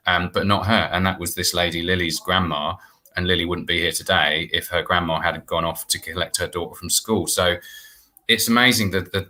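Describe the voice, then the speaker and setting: A man's voice: low-pitched, bright, forceful tone. A middle-aged British man explaining things earnestly and conversationally as a guest on a video call. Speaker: guest, theatre producer. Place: indoor room, video call.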